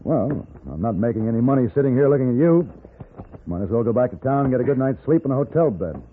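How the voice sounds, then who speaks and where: An actor playing a cool, sardonic gunfighter for hire with an American accent, dry and unhurried, in a 1950s radio Western. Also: male voice, medium pitch, animated, natural voice. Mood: dry, indifferent. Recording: noisy, phone-like 1950s radio recording.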